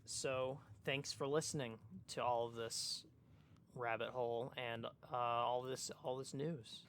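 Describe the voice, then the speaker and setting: Male podcast co-host, medium pitch, crisp forward tone, conversational, feels his way through sentences haltingly. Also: steady tone, a bit bright. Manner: hesitant, halting, repeats word starts. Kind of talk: casual chat.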